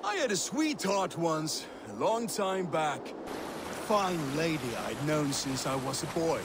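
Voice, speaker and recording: An actor playing a battle-worn Viking warrior-poet, telling sagas in a grandiose, poetic manner in a video game, with water and boat noise behind. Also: male voice, on the high side, animated, slow pace. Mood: regretful, grave. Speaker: storyteller. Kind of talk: dramatic video game monologue.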